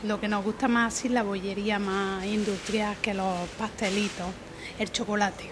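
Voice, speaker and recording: A woman's voice: mid-range voice, little pitch movement, normal speed. Casual woman in a noisy street. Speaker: customer in street interview. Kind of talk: street interview.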